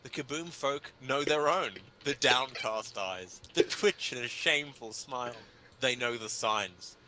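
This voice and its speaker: Male reader, very bright forceful voice, wide swings in pitch, pompous mock-serious comic delivery, slight background noise. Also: animated, measured pace, poor audio. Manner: mock-grave, pompous, breaking into laughter. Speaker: reader of a humorous article. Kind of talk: theatrical dramatic reading of comic prose.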